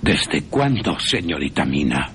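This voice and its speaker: A man playing a courteous vampire-hunting professor who questions softly and insistently. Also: extremely low pitch, sing-song, measured pace, a little hiss or hum, exaggerated voice. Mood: gentle, probing. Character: gentle, probing older professor, a vampire hunter.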